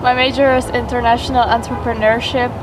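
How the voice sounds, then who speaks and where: High-voiced young woman, distant and noisy. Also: flat delivery, fairly quick. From Belgium. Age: 20s. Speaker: exchange student interviewee. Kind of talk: casual documentary interview. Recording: distant mic, humming background noise.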